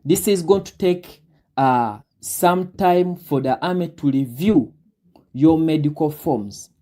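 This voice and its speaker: A young man with a Ugandan accent, a guest recruit talking deliberately through his advice with many fillers. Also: fairly high pitch, mellow. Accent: Ugandan English. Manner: deliberate, breaks words down slowly with many fillers. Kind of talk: advice talk on a live Q&A.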